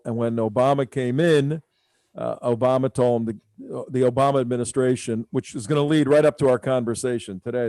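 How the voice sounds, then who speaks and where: A man's voice: mid-range voice, a little mellow, smooth, no room sound. A man hosting a radio talk show, speaking casually and rambling with many fillers.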